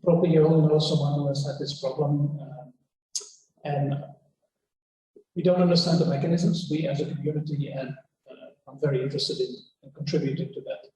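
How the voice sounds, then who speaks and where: A man giving a scientific workshop talk, relaxed and halting, with many fillers and restarts. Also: a little high. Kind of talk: conference lecture. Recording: very echoey hall, faint background hiss.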